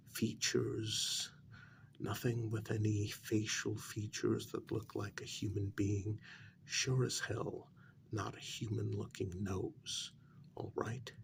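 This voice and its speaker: A man playing an anxious working-class older brother in a soft-spoken ASMR role-play, speaking in a hushed, deliberate, insistent way. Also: creaky, background noise, exaggerated voice. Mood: stern, warning. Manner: low, deliberate, insistent, half-whispered warning.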